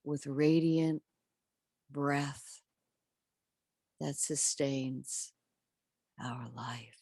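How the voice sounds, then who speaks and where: Slow, low-voiced woman leading gentle meditation. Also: steady tone, no room sound, clean studio sound. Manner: gentle, soothing, measured with long pauses. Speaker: spiritual coach leading guided meditation. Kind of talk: guided meditation and prayer.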